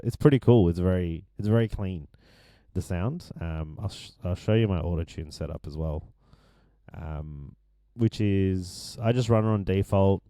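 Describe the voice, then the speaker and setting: Man, low deep voice, hoarse, rambling producer tutorial, dry close sound. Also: no room sound. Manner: relaxed, rambling, many ums and restarts. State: losing his voice. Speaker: music producer explaining his mix. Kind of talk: casual screen-share tutorial.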